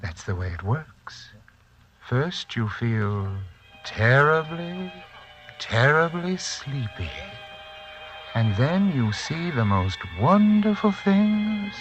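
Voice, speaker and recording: An actor playing a polite, composed, sinister mystic uncle with soft, formal, condescending speech in 1940s radio drama style. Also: male voice, on the high side, big pitch swings, slow, lo-fi sound, faint music behind. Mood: coaxing, hypnotic. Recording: vintage 1940s radio recording.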